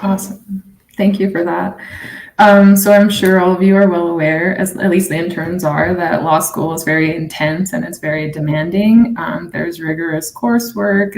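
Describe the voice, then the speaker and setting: A woman's voice: on the low side, vocal fry, echoey room, low-quality recording. A woman attorney from New Mexico moderating a live panel in a friendly way, with frequent ums. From Navajo Nation, New Mexico.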